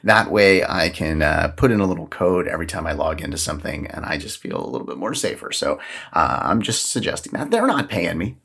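Deep-voiced, animated male podcast host. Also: fairly quick, creaky, husky. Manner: chatty, informal. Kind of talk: casual podcast talk with sponsor read. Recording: music behind the voice. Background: background music.

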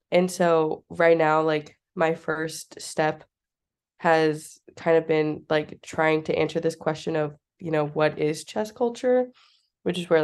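A young woman with a fairly low voice speaks as a podcast guest. She is bubbly and chatty, fills her sentences with "like" and laughs easily. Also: darkish tone. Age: young adult. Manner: bubbly, says "like" a lot, laughs often. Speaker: podcast guest, graduate student. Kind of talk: casual interview chat.

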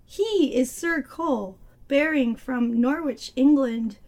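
A woman co-hosting a casual movie review podcast, her voice a bit hoarse as she gets over being sick, often laughing as she talks. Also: high voice, slow, darkish tone. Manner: giggly, laughs while summarizing. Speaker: podcast co-host.

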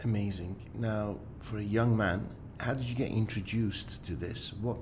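A man's voice: fairly low pitch, fairly even intonation, moderate pace, noisy background. A man hosting an interview, asking questions and chiming in with short affirmations. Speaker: interview host.

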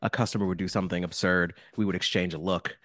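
A young man hosting a casual podcast chat, joking and animated, with lots of fillers. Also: low-pitched, quick pace, dry recording. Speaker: podcast host.

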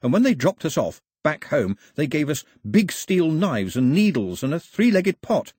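A man reading aloud and voicing a small, talkative young islander man who rushes and stammers. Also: on the high side, very animated, darkish tone, breathy edge, no echo, studio quality, voice pushed up. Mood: eager.

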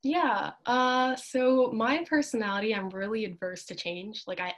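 A woman's voice: a little high, fairly even intonation, a little slow. A young woman from Hong Kong and Japan answering interview questions casually, informal and giggly, with many uses of "like". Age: young adult. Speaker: podcast guest, student club officer.

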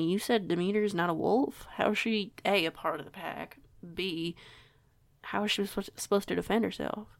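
Woman acting hesitant, curious young woman, creaky voice. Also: fairly low pitch, darkish tone, no room sound. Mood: puzzled, concerned. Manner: hesitant, rambling, stammering. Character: curious, flustered young woman new to a wolf pack. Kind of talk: audio roleplay acting, casual dialogue.

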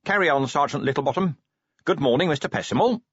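A man plays a weary police commander in an audiobook. He is blunt, impatient and drily sardonic, with a husky edge and lively swings in pitch. The recording is close, dry and slightly dull. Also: quick pace, no room sound. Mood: weary, stern. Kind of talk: audiobook character acting.

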